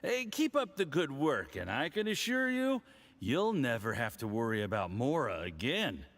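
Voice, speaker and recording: An actor playing a smug, condescending, tipsy diplomat in a video game, speaking in a theatrical, patronizing way. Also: male voice, medium pitch, huge pitch swings, a bit bright. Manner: theatrical, patronizing, drawn-out delivery.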